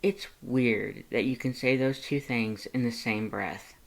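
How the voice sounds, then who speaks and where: A narrator voicing a polite young human in a fan fiction audiobook, curious and deadpan with a sly streak. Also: medium pitch, unhurried, gravelly. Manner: deadpan, inquisitive.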